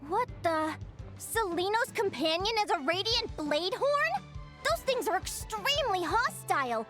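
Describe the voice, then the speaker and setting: A woman's voice: very high-pitched, big pitch swings, unhurried, heavily acted. A woman playing a spirited, eager young female competitor in a video game, speaking emphatically and encouragingly. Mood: startled. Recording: music behind the voice.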